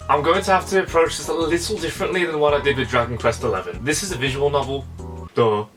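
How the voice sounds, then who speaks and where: A man's voice: on the high side, quick pace, music in the background. A man narrating a game review in a casual, comedic, chatty style with theatrical exasperation.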